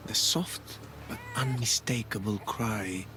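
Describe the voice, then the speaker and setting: An actor playing a philosophical, quietly menacing cult storyteller in a video game, speaking in a measured, eloquent, theatrical way. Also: male voice, lowish voice, background noise. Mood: calm, grave. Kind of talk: video game dramatic acting. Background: music.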